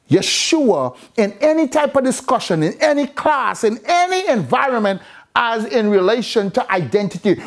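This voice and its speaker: A preacher delivering an impassioned, exhorting sermon, repeating phrases for emphasis. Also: high-pitched.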